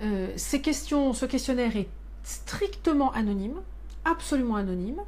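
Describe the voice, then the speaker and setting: A woman's voice: a little high, expressive, normal speed, darkish tone. A woman in her fifties, speaking as a parliament member to her constituents abroad in a monthly live stream from a small office, explaining earnestly with many hand gestures. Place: small office with shelves of binders. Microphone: webcam or phone at desk.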